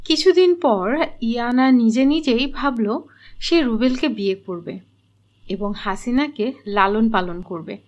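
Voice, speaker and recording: A woman's voice: fairly high pitch, measured pace, darkish tone. A woman narrating a scripted storybook tale.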